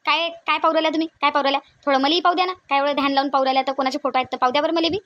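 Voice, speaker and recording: A woman's voice: sits high, fairly even intonation, rapid-fire, a bit bright, muffled recording. A woman voicing a bossy, suspicious older mother-in-law in a cartoon family skit, insistent and repetitive, with a Vidarbha Marathi accent. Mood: suspicious, demanding. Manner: scolding, insistent, repeats her demands.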